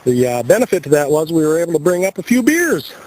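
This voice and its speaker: A man's voice: fairly high pitch, sing-song, fast, darkish tone. An American man giving casual, playful video commentary as an amateur radio operator outdoors at a campsite. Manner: joking, playful. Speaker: amateur radio operator filming a camping trip. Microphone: handheld video camera. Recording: noisy outdoor camcorder audio.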